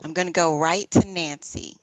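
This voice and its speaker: A woman's voice: low-pitched, steady tone, normal speed, no echo, low-quality recording. A woman from Northern Virginia introducing herself at a workshop, cheerful with ums. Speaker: workshop participant. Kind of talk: casual self-introduction. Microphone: video call.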